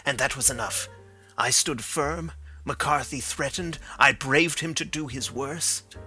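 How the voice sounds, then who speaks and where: A man reading as a gravelly dying old man. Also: medium pitch, bright, forceful tone. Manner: earnest, emotional confession. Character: dying old man confessing, bitter and desperate.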